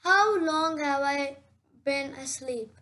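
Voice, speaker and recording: Woman as a slow, sleepy, grumpy storybook toad. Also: high voice, fairly bright tone. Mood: weary.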